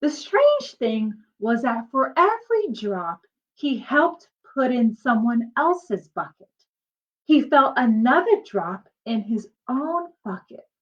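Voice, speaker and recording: A woman reading a children's storybook aloud in an expressive way, drawing out her emphasis and pausing to explain things to young listeners, like a teacher. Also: a little high. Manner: animated, drawn-out emphasis, explains to children as she goes. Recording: dull lo-fi sound.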